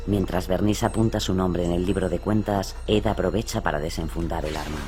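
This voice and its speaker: A man's voice: sits low, steady tone, brisk, music in the background. A man delivering scripted narration as the narrator of a true-crime suspense story. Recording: faint background ambience noise.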